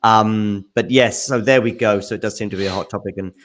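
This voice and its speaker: A man's voice: fairly low pitch, fairly even intonation, a little fast, fairly bright tone, slight background noise. A man hosting a casual podcast chat, self-deprecating and chatty. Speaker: podcast host.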